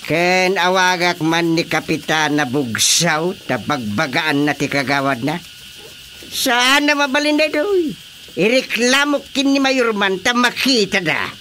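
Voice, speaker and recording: A man's voice: sits high, animated, unhurried, pushed and bright, a little hiss or hum, full character voice. A man with an Ilocano accent playing an indignant, emphatic village chairman in a radio comedy drama. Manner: emphatic, complaining. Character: indignant male village chairman lodging a grievance.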